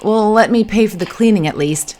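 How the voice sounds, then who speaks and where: A woman's voice: medium pitch, fairly quick, darkish tone, pitched above the performer's own voice, close to an everyday voice. Clumsy, charming male romantic lead, voiced by a woman narrator, earnest and apologetic, light background noise. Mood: earnest, insistent. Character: apologetic, clumsy, eager man, rich and charming. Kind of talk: audiobook character dialogue.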